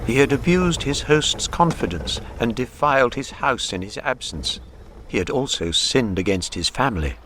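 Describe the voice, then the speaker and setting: A man's voice: mid-range voice, lively intonation, normal speed, a bit bright, slight background noise, lightly acted. A man acting as the grave narrator of a literary radio drama. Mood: brooding, measured. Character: grave literary narrator telling a troubled man's story.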